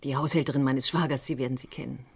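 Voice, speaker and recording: An actress playing a cautious, sympathetic confidante in a German radio crime drama, with a husky voice and discreet manner. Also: female voice, rumbling low, steady tone, quick pace, exaggerated voice. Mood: discreet, earnest.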